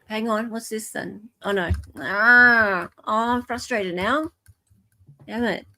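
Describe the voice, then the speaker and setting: Excitable Australian woman chatting live. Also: fairly high pitch, slow pace. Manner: excitable, muttering to herself as she searches. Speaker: podcast host. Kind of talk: casual live chat while reading documents.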